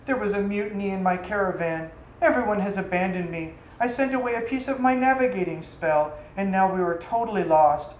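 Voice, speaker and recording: A woman's voice: mid-range voice, fairly quick, hardly any pauses, darkish tone, lightly acted. A woman voicing a despairing, self-pitying male caravan navigator in a storybook tale, lamenting grandly. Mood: distraught. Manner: melodramatic, lamenting. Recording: echoey room, faint background noise.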